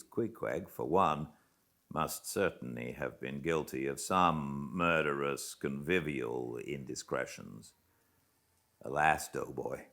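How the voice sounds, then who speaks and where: A British man reading a classic novel aloud as an audiobook narrator, in a measured, theatrical manner with dramatic pauses. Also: a bass voice, a bit bright. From Britain. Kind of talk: expressive literary audiobook narration.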